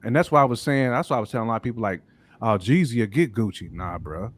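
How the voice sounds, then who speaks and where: A man's voice: medium pitch, lively intonation, fast, dry recording. A man chatting casually as a hip hop podcast panelist, slangy and emphatic in the way he makes his points.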